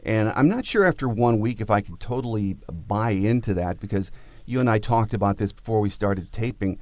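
A man's voice: lowish voice, expressive, a little fast, a little mellow, dry recording. An American man hosting a podcast with casual, conversational sports talk. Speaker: podcast host.

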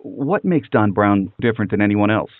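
A man's voice: lowish voice, expressive, moderate pace, no room sound. A middle-aged or older American man hosting a sports interview podcast. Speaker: podcast host. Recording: dull, narrow-band phone-like audio.

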